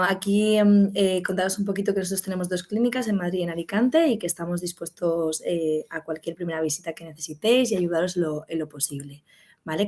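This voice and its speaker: A woman's voice: fairly low pitch, a little mellow. A woman gynecologist from Spain, a clinic's medical director, giving a webinar talk over a video call in a conversational way, with frequent fillers and tag questions.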